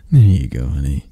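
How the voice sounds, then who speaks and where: A predatory creature of the night acted by a man murmurs as a possessive lover in an ASMR roleplay. The voice is low, very dark and mellow, with gravel in it. It teases tenderly and with quiet menace. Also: no room sound, full character voice. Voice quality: husky, breathy, gravelly low voice. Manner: slow, teasing murmur with soft chuckles and near-whispers. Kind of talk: intimate ASMR roleplay acting.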